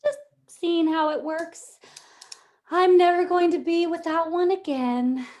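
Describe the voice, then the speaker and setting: A woman's voice: extremely high pitch, fairly even intonation, slow pace, darkish tone. A woman playing a coy, calculating, murderous young bride in a vintage-style radio play. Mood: sweetly menacing. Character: coy, greedy, murderous young bride, sly femme fatale.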